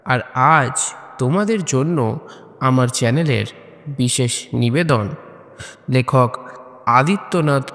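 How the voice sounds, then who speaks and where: A man's voice: medium pitch, animated, slow, pauses often, fairly bright tone. A man presents a scripted introduction to a horror audio story. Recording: long hall-like reverb.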